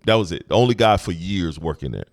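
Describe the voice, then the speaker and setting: A man in his thirties with a deep voice, hosting a podcast in relaxed, agreeable casual chat. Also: fast, no echo, studio quality. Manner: relaxed, agreeable, frequent "mm-hmm" and "right". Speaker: podcast host.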